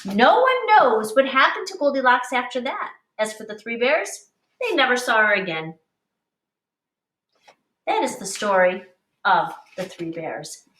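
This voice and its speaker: Animated woman teacher reading aloud, echoey. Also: on the high side, slight background noise. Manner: animated, deliberate, explaining to children. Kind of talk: expressive picture-book read-aloud with teaching asides. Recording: echoey room, distant mic.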